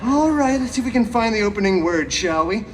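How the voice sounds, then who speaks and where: A man's voice: high voice, lively intonation, brisk, a little hiss or hum. A man playing a cheeky, chatty masked antihero who tells stories theatrically with sarcastic asides at a live show, heard through a distant PA. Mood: playful. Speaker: theme park show performer. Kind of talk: live comedic storytelling show for a crowd. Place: live outdoor theme park stage. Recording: distant PA sound with loud music. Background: loud music.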